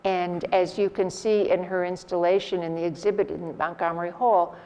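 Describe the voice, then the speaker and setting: A woman hosting an art salon, giving a measured, formal introduction of the evening's speaker. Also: lowish voice, little pitch movement, darkish tone, clean tone, poor audio. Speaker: host of art salon talks.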